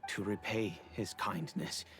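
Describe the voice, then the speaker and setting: An actor playing a dignified middle-aged wealthy father in a video game, with a formal, measured storytelling manner. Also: fairly low pitch, fairly even intonation, a bit bright. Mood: solemn, reminiscing. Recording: game audio with music underneath. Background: background game music.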